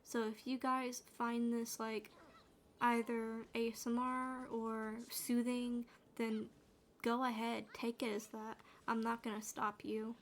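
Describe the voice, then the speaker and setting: A woman gaming streamer with a naturally quiet, soothing voice, chatting in a loose, rambling way while she plays. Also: fairly high pitch, level tone, takes it slowly, slight background noise. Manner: rambling, with fillers and restarts. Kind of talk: soft-spoken gaming chat.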